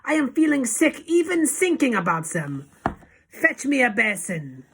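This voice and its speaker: A woman's voice: medium pitch, animated, unhurried, gravelly, a bit bright, slight background noise, voice pushed up. A woman voicing a tiny, fiendish, tyrannical witch leader who screeches and barks commands in mangled grammar for a storybook. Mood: disgusted, furious. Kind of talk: dramatic audiobook character acting.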